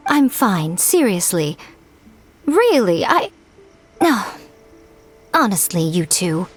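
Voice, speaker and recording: A woman's voice: medium pitch, sing-song, slow, slightly rough, faint music behind, heavily acted. A woman playing a sly, teasing, self-assured shrine maiden in a video game, with a coy and airy manner.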